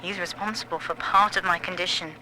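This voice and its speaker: A haunted young woman confiding in a game audio log. Her voice is very bright and tense, mid-pitched, and her words are articulate. A faint noise accompanies the recording. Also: steady tone, brisk, low-quality recording. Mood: troubled, candid. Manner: confessional, articulate. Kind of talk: dramatic recorded audio-diary monologue.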